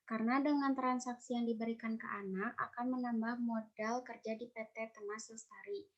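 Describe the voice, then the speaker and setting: Young female student presenter, hesitant delivery, slightly high pitch, dull lo-fi audio. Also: fairly even intonation. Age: young adult. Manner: halting, frequent hesitations. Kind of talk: class group presentation.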